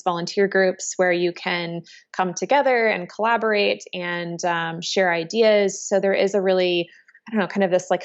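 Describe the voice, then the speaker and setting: A woman in her thirties from Chicago, answering interview questions in a relaxed, thoughtful way with many ums. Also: lowish voice. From Chicago, United States. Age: 30s. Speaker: interviewee, entrepreneur. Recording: dull lo-fi sound.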